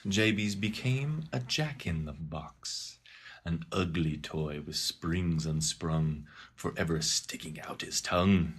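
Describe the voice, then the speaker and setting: A male narrator delivers rhyming storybook verse with dramatic flair. His mid-pitched voice is bright and slow-paced, swinging widely from line to line. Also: slightly rough. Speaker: narrator of a dramatic poem reading.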